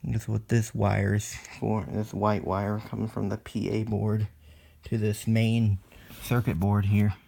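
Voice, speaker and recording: Man, slow pace, creaky fry, halting matter-of-fact delivery, hobbyist demo presenter, phone recording. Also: on the low side, little pitch movement, warm tone. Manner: halting, matter-of-fact explaining in short phrases. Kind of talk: unscripted hands-on technical demonstration. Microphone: phone recording video.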